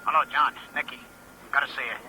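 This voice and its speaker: A man's voice: medium pitch, fairly even intonation, fast talker, lightly acted. A man playing a desperate, pushy fugitive in a 1950s radio crime drama, speaking in clipped, insistent phrases. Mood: desperate, urgent. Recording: very noisy old 1950s radio recording.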